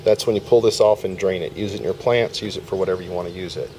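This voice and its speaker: A man with a lowish voice explains each step in a folksy, casual way while working with his hands. He is outdoors in a yard, picked up by the camera's own mic. Faint outdoor sound sits behind him. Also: fast talker, mellow, slight background noise. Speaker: homesteading video host.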